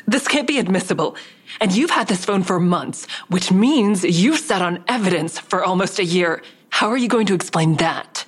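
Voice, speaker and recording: An anxious woman telling her own story in an audio drama. Her voice is low for a woman. She sounds restrained, guarded and introspective, in both narration and dialogue. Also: animated, normal speed, husky, dry recording. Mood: uneasy, defensive.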